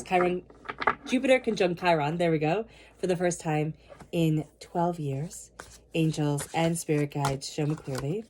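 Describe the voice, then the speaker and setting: A woman with a cold giving a tarot reading, speaking earnestly and slowly repeating her invocations. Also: low voice, noisy background. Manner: soothing guided meditation. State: has a cold. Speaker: tarot reader.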